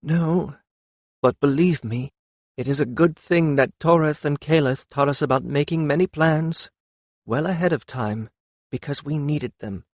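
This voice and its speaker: Man voicing a resolute, grave young noblewoman, raised pitch. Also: a little slow, no room sound. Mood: grave, wry. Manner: simple, firm, matter-of-fact.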